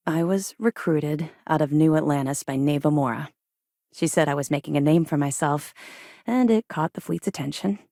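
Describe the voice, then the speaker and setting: A woman playing a disciplined, no-nonsense military officer in a sci-fi video game, speaking in a crisp, formal, businesslike way. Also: on the low side, darkish tone, no echo, clean studio sound, lightly acted. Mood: reflective. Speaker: military officer briefing the player. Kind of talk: scripted video game dialogue.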